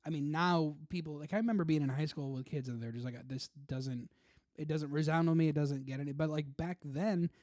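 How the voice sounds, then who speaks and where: Male co-host, fairly high but mellow voice, dry close sound. Informal banter with mock quotes. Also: a little fast, no echo. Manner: joking, slips into mock quotes. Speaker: podcast co-host. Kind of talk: casual chat, comedic banter.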